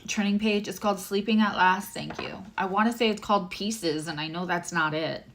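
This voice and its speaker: A woman tarot reader and astrologer with a low voice, giving a livestream reading, chatty and emotional, addressing viewers by name. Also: normal speed, fairly bright tone.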